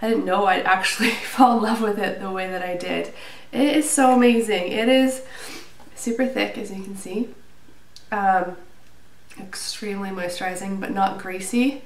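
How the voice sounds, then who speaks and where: A woman's voice: mid-range voice, normal speed. Congested, nasal woman in a roomy room. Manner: chatty, with fillers and small laughs. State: a little out of breath. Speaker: beauty vlogger. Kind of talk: casual product review to camera. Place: small indoor room, plain wall. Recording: roomy, slightly distant with faint hiss.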